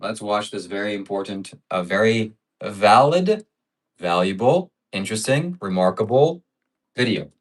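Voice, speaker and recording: Low-voiced man hosting a livestream. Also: animated, a little slow. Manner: reads out donations and piles up adjectives. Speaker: livestream host. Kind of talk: casual livestream chat.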